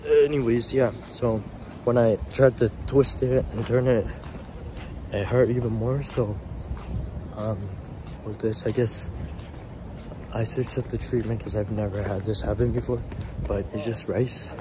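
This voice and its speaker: A hoarse young man in pain talks into a phone held out at arm's length while limping outdoors at night. His voice sits fairly low, with groans breaking into his casual vlog chatter. Traffic and city noise carry clearly behind him. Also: steady tone, unhurried, long pauses. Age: young adult. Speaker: vlogger. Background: street traffic.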